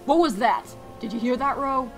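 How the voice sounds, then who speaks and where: A woman playing a blunt, suspicious assassin officer in an animated fantasy series, brusque and sardonic in manner. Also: high voice, moderate pace, natural voice. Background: music.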